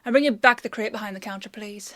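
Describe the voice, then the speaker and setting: A woman's voice: medium pitch, fast talker, sharp tone, no echo, studio quality, close to an everyday voice. A woman playing a bossy, teasing female apothecary in a fantasy audio roleplay, with a chatty, sardonic, playfully scolding manner. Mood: amused teasing.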